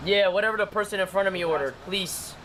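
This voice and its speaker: Young guy hosting from the driver's seat, high lively voice, kinda far from the mic. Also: fast, a crowd in the background. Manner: animated, laughs often, repeats himself. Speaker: host running a drive-thru challenge. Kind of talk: casual vlog chat. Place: inside a car, driving.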